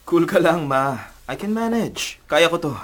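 A man's voice: a little high, expressive, unhurried. A man playing a cocky, vengeful young student in a radio drama, with a smug, slangy manner. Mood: cool, annoyed. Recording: loud music behind the voice.

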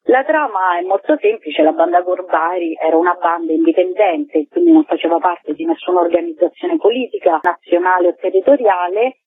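A woman's voice: fairly low pitch, slightly rough, dry recording. A woman, a theatre artist being interviewed, explaining her work in long, flowing, articulate sentences. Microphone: telephone. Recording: tinny phone line on radio.

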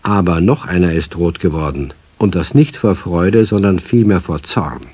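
A man as the narrator of a children's radio play, telling the story in a scripted style with a husky, dark voice. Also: sits low, moderate pace, dry recording. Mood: building suspense.

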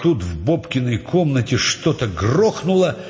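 A male narrator reads dramatised literary prose at a medium pitch with very varied intonation. His voice carries a long reverb, and music plays clearly behind him. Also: very animated, measured pace. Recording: dull sound, long reverb, music underneath.

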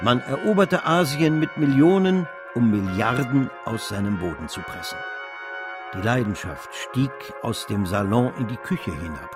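A man's voice: mid-range voice, lively intonation, a little slow, over music, close to an everyday voice. A man narrating a literary radio drama as an eloquent storyteller. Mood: sweeping, vivid narration. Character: eloquent storyteller chronicling a financial frenzy.

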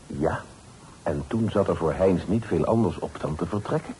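A man's voice: deep voice, animated, normal speed, dark tone. An actor playing a humble, chatty, gossipy man, deferential in manner, in a period radio drama. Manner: deferential, garrulous. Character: humble, chatty, gossipy male family acquaintance seeking his daughter. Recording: noisy old radio play recording.

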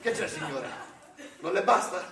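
A frazzled, short-tempered Santa Claus, as a man acts him out on stage, his voice high and sugary before it snaps into shouting. The sound is distant and roomy, caught from a live stage with a noisy background. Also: lively intonation, moderate pace, gravelly, a crowd in the background. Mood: exasperated. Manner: sugary coaxing that tips into shouting. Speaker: stage actor in a comic monologue. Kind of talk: live theatre comedy monologue. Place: theatre stage.

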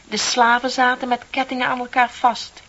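A woman's voice: fairly high pitch, level tone, moderate pace, a bit bright. An actress playing a chatty, dreamy younger female office clerk in a Dutch radio play, with a theatrical, wryly ironic way of talking. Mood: musing. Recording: dull, hissy old radio recording.

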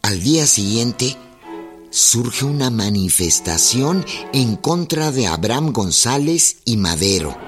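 A man with a Mexican accent giving measured, dramatic narration for a historical radio drama. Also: medium pitch, big pitch swings, faint music behind.

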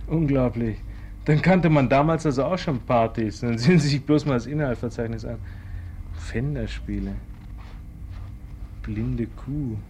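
A man's voice: mid-range voice, unhurried, pauses often, dark tone, slight background noise, close to an everyday voice. Male actor as wealthy haughty customer, mid-range voice, curious, condescending, radio play, faint ambience. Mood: haughty, amused. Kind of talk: German radio play acting.

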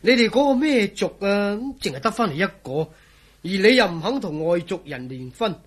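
A man playing a scoffing, jovial friend in a radio drama, teasing with drawn-out exclamations. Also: sits high, sing-song, slightly rough, full character voice. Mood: mocking. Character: scoffing, jovial man, mocking a believer in legends. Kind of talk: Cantonese radio drama acting.

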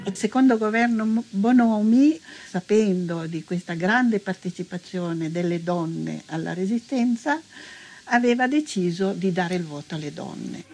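A woman gives a historical account in an unscripted interview. Her mid-pitched voice moves deliberately, with halting phrasing. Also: darkish tone.

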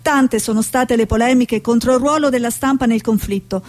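A woman acting a determined TV war reporter in a radio play. Her voice sits fairly high. She speaks earnestly and insistently, as someone who will not back down. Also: normal speed, a little mellow, lightly acted. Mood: grave narration. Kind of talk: Italian stage-style radio drama acting.

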